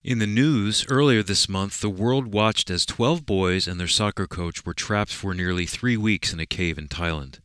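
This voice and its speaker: A man co-hosting a radio show, reading the introduction and a news segment smoothly from a script. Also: lowish voice, moderate pace, few pauses, fairly bright tone, no echo, clean studio sound.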